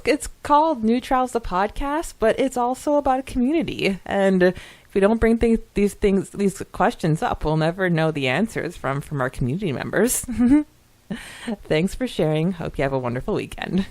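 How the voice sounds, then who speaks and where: A woman's voice: mid-range voice, animated, normal speed, darkish tone, no echo. A woman co-hosting a podcast, giggly and chatty as she talks casually and reads listener comments aloud. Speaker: podcast co-host.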